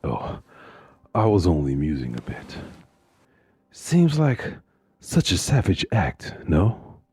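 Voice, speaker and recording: An actor playing a calm, philosophizing male serial killer of about forty in a suspense audio drama, gravelly and deliberate. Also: dry recording, heavily acted. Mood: feigned musing. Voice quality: very deep, gravelly husky. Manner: slow, deliberate, pompous phrasing.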